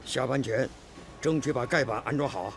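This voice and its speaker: An actor as a gravelly, brusque factory foreman. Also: medium pitch, brisk, lightly acted. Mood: weary, practical. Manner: curt, authoritative. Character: brusque, dismissive male factory team foreman. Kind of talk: radio drama acting. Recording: clear workshop background noise.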